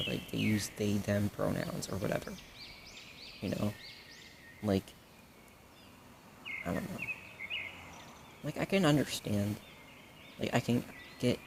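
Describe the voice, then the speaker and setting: A man's voice: fairly low pitch, vocal fry, full character voice. A young man playing a supportive best friend in an audio roleplay, talking casually and hesitantly in rambling, trailing phrases, on a noisy recording. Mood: earnest, reassuring, then incredulous. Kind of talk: audio roleplay addressed to the listener, casual improvised chat.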